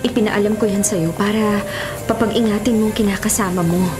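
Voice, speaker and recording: A woman's voice: medium pitch, fairly even intonation, a little fast, music in the background, natural voice. An actress playing a cautious, peacemaking woman who pleads and admonishes in a radio drama. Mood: worried.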